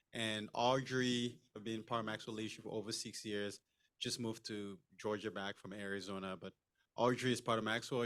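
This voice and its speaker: A man from Cameroon introducing himself to a group, friendly and energetic. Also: lowish voice. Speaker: new member. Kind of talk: brief self-introduction.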